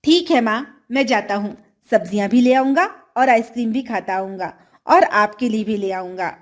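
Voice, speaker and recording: A woman's voice: fairly high pitch, normal speed, voice pushed down, lightly acted. A woman voicing a kind, obedient young boy in a children's story, in a polite, sincere and mild manner.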